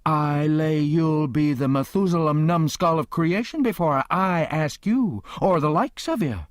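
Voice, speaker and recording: A man voicing a sharp-tongued older Southern woman who scolds in a high, shrill tone. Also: animated, moderate pace, no echo, studio quality, natural voice. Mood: indignant.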